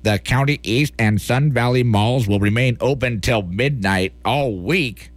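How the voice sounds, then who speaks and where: A man's voice: mid-range voice, unhurried, lightly acted. A man playing an alarmist newscaster, urgent and overblown, in a parody breaking-news bulletin. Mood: mock serious. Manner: urgent, dramatic.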